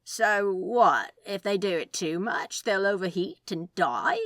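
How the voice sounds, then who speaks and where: A woman as a casual, drawling, animated demon. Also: mid-range voice, no room sound, studio quality. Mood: reasoning, sceptical. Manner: casual, slow, reasoning aloud. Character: laid-back, reasonable male demon, casually sceptical.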